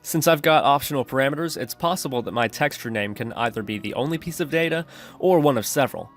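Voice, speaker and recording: A man's voice: medium pitch, animated, fast, soft background music. A man narrating a step-by-step screencast tutorial, explaining his reasoning as he goes. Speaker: programming tutorial host.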